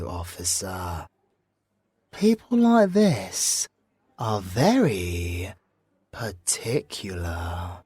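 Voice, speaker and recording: A British man acting a sly, seductive villain in an ASMR roleplay, with a breathy voice and a teasing, drawn-out, theatrical delivery. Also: fairly low pitch, a little mellow, dry recording. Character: sly, seductive, possessive male villain toying with an officer. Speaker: audio roleplay voice actor.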